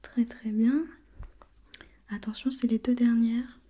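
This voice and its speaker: A woman whispering breathily in an ASMR role play, gently putting questions step by step as an examiner and softly repeating herself. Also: on the high side, little pitch movement, slow pace. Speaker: examiner in a role-played visual test.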